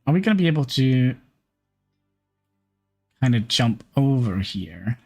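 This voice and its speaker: A man talks through a game as he plays, pausing and restarting while he works things out. His voice sits at an ordinary middle pitch, with faint music underneath. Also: very animated, vocal fry, husky. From Norway. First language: Norwegian. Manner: thinking aloud, halting with fillers. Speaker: streamer. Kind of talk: live gameplay commentary.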